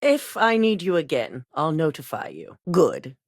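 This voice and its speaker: An actress voicing a calculating corporate executive who hands out missions in a video game, speaking in a composed, clipped, authoritative way. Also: female voice, deep voice, big pitch swings, measured pace, no echo, studio quality, exaggerated voice. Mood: cold. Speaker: corporate boss giving missions.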